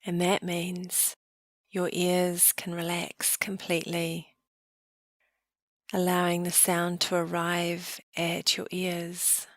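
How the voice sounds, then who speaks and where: A woman leading a guided meditation in a calm, gentle way, with soft trailing phrases. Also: on the low side, slow, no echo, clean studio sound. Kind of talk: guided meditation, slow soothing narration.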